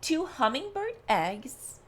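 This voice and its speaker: Woman doing a proud, slow-talking boastful bear. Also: high-pitched, big pitch swings, full character voice. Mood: smug boasting. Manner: boastful, emphatic, growling at times. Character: proud, competitive male bear bragging about his nose.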